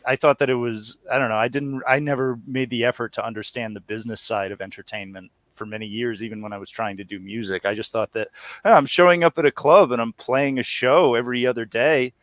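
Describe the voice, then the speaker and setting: A man's voice: medium pitch, fairly even intonation, fast talker, fairly bright tone, no echo. A man in his thirties talking casually as an interview guest in a rambling, wry, self-deprecating way. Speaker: guest, audio series co-creator and musician.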